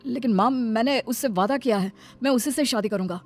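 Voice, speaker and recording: A woman's voice: on the high side, brisk, voice pushed up, close to an everyday voice. A woman voicing an earnest, lovestruck young man in a storybook tale, pleading and insistent. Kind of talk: storybook character dialogue. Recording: background music under the voice.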